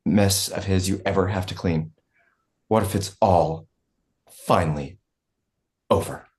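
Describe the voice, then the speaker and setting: A woman's voice: very deep voice, measured pace, darkish tone. A woman playing a brash, scheming and defiant political operator in a stage comedy read. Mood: persuasive. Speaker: actor in a table read.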